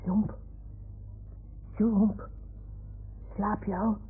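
A woman playing a wistful, stubborn little boy in a radio play, speaking with childlike earnestness and a creaky edge. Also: mid-range voice, flat delivery, extremely slow, exaggerated voice. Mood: pleading, playful. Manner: childlike, earnest, naive storytelling. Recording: tinny, faintly noisy 1960s-70s radio recording.

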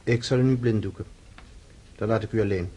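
An actor playing a stern, ceremonious young count and freemason sponsor in a radio drama, solemn and formal. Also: male voice, on the low side, fairly even intonation, brisk, dark tone, husky.

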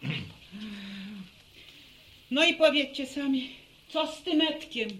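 An actress playing a brisk, kindly middle-aged village mother in a radio serial, homely and given to exclaiming and bossing. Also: high-pitched, a bit bright, slight background noise. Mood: chiding. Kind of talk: Polish radio serial acting, rural family drama.

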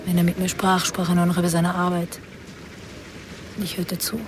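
An actress playing a secretive, guilt-laden estranged wife, earnest and deliberate, in a German radio crime drama. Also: female voice, fairly low pitch, monotone, close to an everyday voice. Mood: confessing. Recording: music behind the voice.

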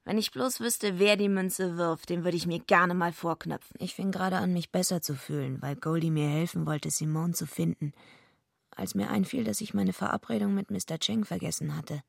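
An actress playing a blunt, defiant, streetwise young female wrestler with a husky, gravelly voice in a radio drama. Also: fairly low pitch, normal speed, no echo, studio quality. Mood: wry, narrating. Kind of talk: radio drama acting and first-person narration.

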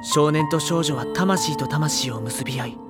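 Voice actor doing thoughtful schoolboy narrator, mid pitch, measured and introspective, audio drama with music under it. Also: lightly acted. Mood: pensive. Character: reflective, polite teenage schoolboy narrator, gentle junior student. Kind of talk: Japanese audio drama, first-person narration.

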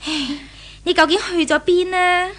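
A woman playing an excitable household servant in a 1970s Hong Kong Cantonese radio drama, with a shrill delivery. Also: a little slow. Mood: startled, concerned.

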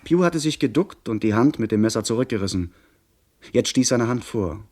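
An actor playing a young gentleman who recounts a pirate adventure as the narrator of a radio play, in measured literary storytelling. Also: medium pitch, expressive, natural voice. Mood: tense, narrating.

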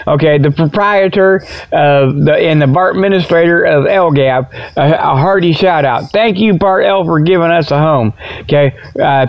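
A folksy American man rambles through a comic solo radio monologue. His voice sits a little high for a man and has a gravelly crackle. He doubles back on his words as he jokes, and the audio is slightly dull and lo-fi. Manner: folksy, jokey, stammering repetitions, chuckles at own jokes. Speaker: internet radio show host.

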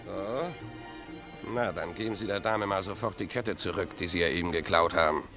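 An actor playing a tough, impulsive crook with a romantic streak in a 1950s German radio play, blunt and rough-spoken. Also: male voice, sits low, moderate pace, over loud music. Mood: threatening.

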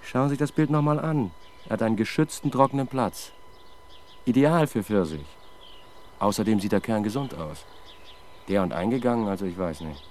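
A man's voice: lowish voice, lively intonation, moderate pace, long pauses, darkish tone, a little hiss or hum, lightly acted. An actor playing an observant, matter-of-fact male police sidekick, musing aloud in a 1970s German radio crime drama. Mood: curious, musing.

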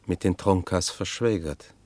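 An actor playing a sober chronicler, narrating a radio drama in a formal, dry storytelling manner. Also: male voice, low-pitched, measured pace, darkish tone, no echo. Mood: sober, wry. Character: male chronicler soberly recounting a legal injustice.

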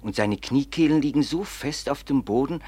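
An actor playing a simple, kindly prison warder in a 19th-century radio play, deferential, earnest and a bit halting. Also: male voice, mid-range voice, dark tone, dry recording, lightly acted. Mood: earnest, confiding.

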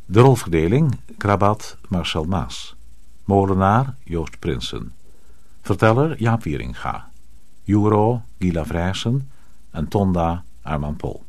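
A man's voice: low-pitched, sing-song, takes it slowly, darkish tone. A man reading scripted closing credits as a radio announcer.

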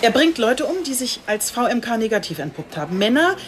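A woman's voice: fairly high pitch, very animated, brisk, background noise. A woman playing a police chief inspector in a radio drama, articulate, earnest and matter-of-fact. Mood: determined, earnest. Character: determined, composed female police chief inspector. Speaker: police detective. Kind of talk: scripted radio drama dialogue.

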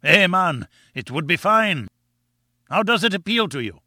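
Elderly Scottish newspaper editor, played by a male reader, avuncular and exclamatory, raised pitch, storybook dialogue. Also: sing-song, measured pace, sharp tone, no room sound, clean studio sound, exaggerated voice. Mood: musing, encouraging. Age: older. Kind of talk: audiobook character dialogue.

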